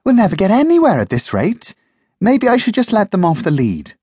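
A narrator voicing a cheerful young girl in a storybook, speaking brightly and eagerly. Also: medium pitch, wildly swooping pitch, moderate pace, breathy edge, no room sound, voice pushed up. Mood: dismayed. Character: cheerful young girl looking after puppies.

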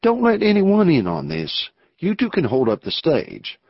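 A greedy, scheming rancher, as a man puts him on talks eagerly and presses hard. The voice sits higher and sounds husky, swinging widely in pitch. The recording is dry and dull. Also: huge pitch swings, a little slow, no room sound, full character voice. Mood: urgent plotting. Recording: dull lo-fi audio.

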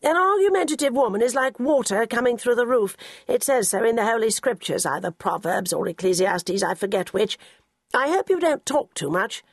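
A woman reading aloud voicing a fussy, snobbish elderly English gentleman with a drawling, affected upper-class delivery. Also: fairly high pitch, animated, normal speed, slightly rough, no room sound, clean studio sound, voice pushed up. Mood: pompous.

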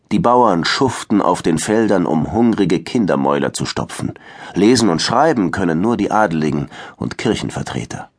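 Very deep, husky male storyteller. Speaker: audiobook narrator. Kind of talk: scripted children's history narration.

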